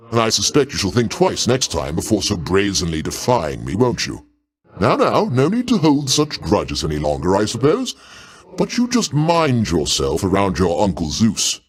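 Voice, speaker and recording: An imperious ruler of the gods, a genial uncle with a grand sense of his own authority. His lowish voice sweeps dramatically up and down through formal, pompous phrasing. It is theatrical video game character work, avuncular and commanding. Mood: magnanimous, warning.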